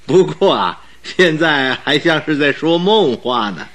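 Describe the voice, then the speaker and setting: An actor playing a detective's good-humoured, inquisitive companion in a Mandarin radio drama, chatty and bantering. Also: male voice, fairly high pitch, very animated, a little slow, fairly bright tone. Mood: amused.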